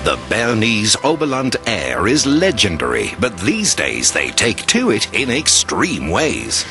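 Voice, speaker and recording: A man's voice: fairly low pitch, wildly swooping pitch, unhurried, sharp tone. A man narrating a travel show in a scripted voice-over. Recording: voice-over with music underneath.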